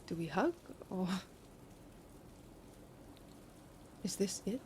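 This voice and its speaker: An actress playing a heartbroken lover, wounded and pleading, in a naturalistic audio drama. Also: female voice, mid-range voice, lively intonation, a little fast, darkish tone, a little hiss or hum, lightly acted. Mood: sad, hesitant.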